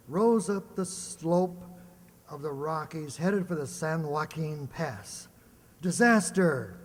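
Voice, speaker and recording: A man playing a dramatic old-time radio serial announcer, declamatory, measured and suspenseful. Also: a little high, big-room sound. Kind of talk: 1940s radio serial narration.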